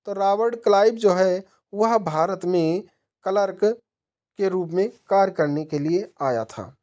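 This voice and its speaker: A woman teacher with a soft-edged, mellow tone reads out quiz questions and answers them herself. She is methodical, her pitch sits in the middle, and her sentences tend to trail downward at the end. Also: unhurried. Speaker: exam-prep teacher.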